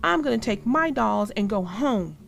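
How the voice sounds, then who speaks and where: A woman's voice: fairly high pitch, animated, normal speed, vocal fry, faint music behind. A woman voicing a bossy, indignant young girl bear cub in a children's storybook. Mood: angry. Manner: loud, indignant, shouting. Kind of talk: children's storybook read-aloud.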